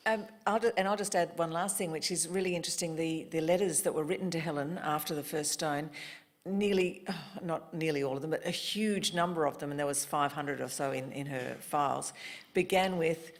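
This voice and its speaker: A woman on a live panel, measured and dry with wry asides. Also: low voice.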